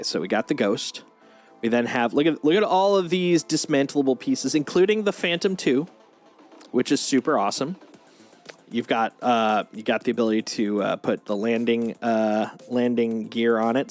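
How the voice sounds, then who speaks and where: A man chatting casually as a livestream host, showing things off in an enthusiastic, geeky, rambling way. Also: medium pitch. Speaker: streamer, host. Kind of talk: casual livestream chat, showing off collectible toys. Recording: music playing behind the voice. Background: music.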